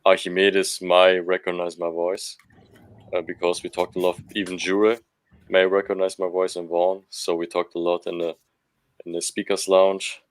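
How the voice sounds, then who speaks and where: A young man with a very deep voice, joking around in a casual chat. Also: completely flat delivery, normal speed, no echo. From Germany. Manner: joking, teasing banter. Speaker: guest speaker.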